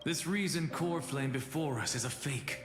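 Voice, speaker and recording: A man acting a grave, stern warrior in a video game, earnest and reproachful with breathy, measured intensity. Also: mid-range voice, close to an everyday voice. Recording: echoing hall reverb, loud game music.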